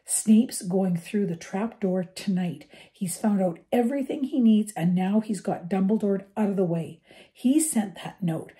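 A woman's voice: mid-range voice, measured pace, mellow, lightly acted. A woman voicing a determined young schoolboy in a storybook reading, with an urgent, earnest manner.

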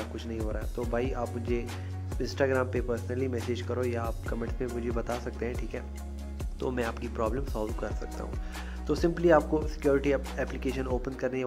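A man with an Indian accent and a mid-pitched voice talks over clearly audible music. He hosts a casual how-to voice-over, informal in his phrasing, and often punctuates his steps with a little confirming tag. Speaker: YouTube tech tutorial host. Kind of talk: casual screen-recording tutorial voice-over.

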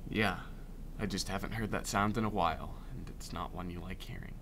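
An actor playing a shaken war veteran who interviews survivors in a radio drama. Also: male voice, sits low, brisk, a bit bright. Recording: audible background noise.

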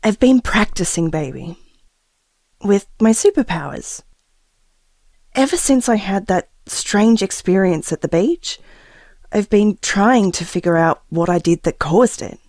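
Slow, earnest, confiding expectant mother. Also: medium pitch, animated, a little mellow, dry recording, clean studio sound. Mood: earnest, quietly hopeful. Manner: reflective, hesitant, earnest. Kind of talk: audio drama, confiding taped diary monologue.

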